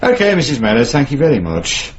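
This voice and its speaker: An actor playing a courteous, methodical English police superintendent, polite and probing, in a British radio drama. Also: male voice, medium pitch, huge pitch swings, fast talker. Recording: dull lo-fi radio recording, clear noise.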